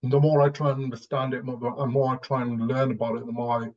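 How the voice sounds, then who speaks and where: A man's voice: mid-range voice, little pitch movement, quick pace, darkish tone, dull audio. A man from Newcastle in England sharing personal reflections on a panel, hesitantly repeating phrases as he thinks. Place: online video call.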